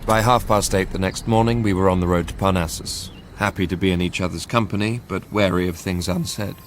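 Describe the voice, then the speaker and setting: A man's voice: low voice, fairly even intonation, a little fast, slight background noise, close to an everyday voice. An actor playing a guarded, self-absorbed, conflicted young lover in a radio drama, hesitant and confessional as he narrates and talks. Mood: guarded, reflective. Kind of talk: radio drama acting with first-person narration.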